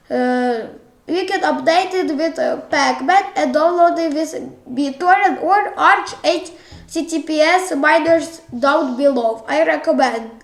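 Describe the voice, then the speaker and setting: High, halting Ukrainian-accented tutorial voice. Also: female voice. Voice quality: childish-sounding voice. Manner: hesitant, halting, many fillers and restarts. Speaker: tutorial host. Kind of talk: screen-recorded software tutorial. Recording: echoey room.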